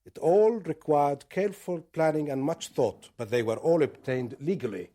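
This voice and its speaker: A man acting a militant commander in a radio thriller, with a fairly high male voice. He probes and gives orders with smug, condescending authority and quiet menace. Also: normal speed, natural voice. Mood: smug, boastful.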